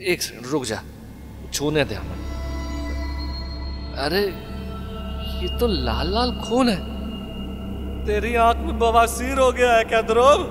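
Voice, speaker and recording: A man acting a goofy eastern-Hindi sidekick, swooping pitch. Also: animated, takes it slowly, pauses often, over music. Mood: bewildered. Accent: eastern Hindi belt. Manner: teasing, foul-mouthed, colloquial. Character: Prasad, crude wisecracking goofy sidekick to a ghost investigator. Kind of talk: Hindi horror-comedy audio drama acting.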